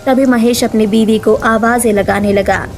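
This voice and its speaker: A woman's voice: a little high, fairly even intonation, normal speed, darkish tone, faint music behind. A woman narrating a scripted story as a storyteller.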